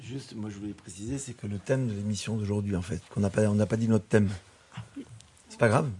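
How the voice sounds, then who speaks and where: A man's voice: lowish voice, steady tone, brisk, dark tone, husky. A man guiding a group radio show and handing round the microphone, heard from off-mic. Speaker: show facilitator.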